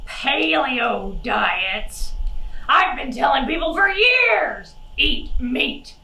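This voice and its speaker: A woman's voice: high voice, vocal fry, sharp tone, heavily acted. A woman voicing a sly, hungry, mock-polite wolf with a folksy, melodramatic manner in a children's puppet show. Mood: grumbling, hungry. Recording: roomy, distant microphone.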